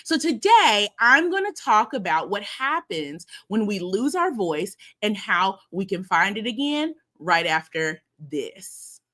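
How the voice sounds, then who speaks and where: Chatty woman, live-stream host, lively swooping delivery. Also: medium pitch, takes it slowly, hardly any pauses. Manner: chatty and animated, addresses viewers as y'all and honey. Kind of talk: casual live-stream talk to viewers.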